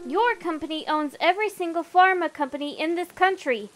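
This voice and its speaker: An insistent reporter, played by a woman, high-voiced and indignant. She presses her challenge hard, in the manner of an animated comic. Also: a little fast, soft background music. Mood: insistent, challenging.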